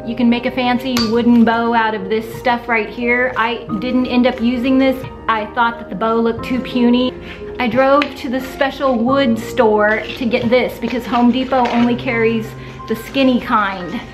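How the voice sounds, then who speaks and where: Chatty, high-voiced middle-aged woman vlogger. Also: fairly even intonation, moderate pace, music in the background, poor audio. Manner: chatty, candid, self-deprecating. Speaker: DIY craft vlogger. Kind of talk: casual personal vlog talk to camera. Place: indoors, furnished room at home.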